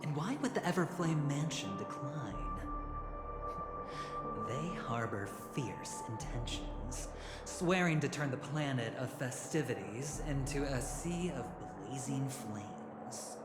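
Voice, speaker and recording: A smug, scheming corporate insider in a dramatic video game scene. He is slow and deliberate, teasing and conspiratorial with theatrical pauses. The sound is roomy, with loud music behind him. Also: medium pitch, lively intonation. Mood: conspiratorial storytelling.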